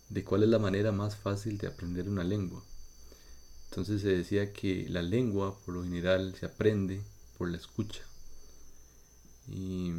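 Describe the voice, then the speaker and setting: Costa Rican male podcaster, low mellow voice with gravel, patient and measured teaching delivery. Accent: Costa Rican Spanish. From Costa Rica. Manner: measured, patient, thinking aloud in short phrases. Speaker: podcast host. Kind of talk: slow explanatory monologue for learners.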